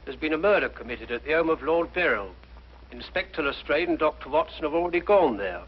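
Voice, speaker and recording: An actor playing a dutiful junior police constable in a 1950s drama, deferential and clipped. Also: male voice, a little high, expressive, brisk, slightly rough. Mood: polite, curious. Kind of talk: 1950s television drama acting. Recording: muffled 1950s television soundtrack, music.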